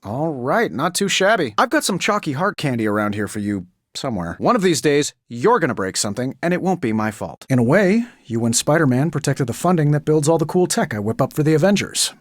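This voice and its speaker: A cocky genius inventor superhero for a video game, kinda high male voice, super animated n sarcastic, dry close sound. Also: a little fast, dry recording. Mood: breezy, teasing, then wry, explaining. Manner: sardonic, glib, conversational. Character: wisecracking male billionaire inventor superhero, cocky and quick-witted.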